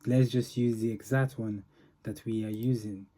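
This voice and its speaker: A man with a low, very mellow and dark voice walks through a software demonstration. He speaks informally and haltingly, often pausing mid-phrase as he explains each step. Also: fairly even intonation. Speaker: software developer presenting a demo. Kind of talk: informal product demo walkthrough.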